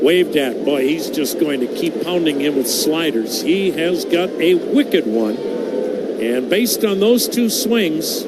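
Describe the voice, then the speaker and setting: High-pitched American baseball announcer, unhurried man. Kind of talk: live radio sports commentary. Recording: very noisy broadcast, steady background hum.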